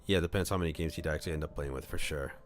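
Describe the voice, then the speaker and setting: Very low-voiced man reading aloud. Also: racing, slight background noise. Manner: casual asides between scripted narration. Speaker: streamer, VTuber. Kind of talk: live read-aloud of a visual novel. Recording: music behind the voice. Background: background music.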